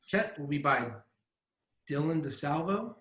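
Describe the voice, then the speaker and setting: Bright-voiced man in an echoey room. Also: fairly high pitch, animated, measured pace. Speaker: festival director introducing a cast. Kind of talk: casual scripted introduction. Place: indoors on a web call. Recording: roomy, echoey web call.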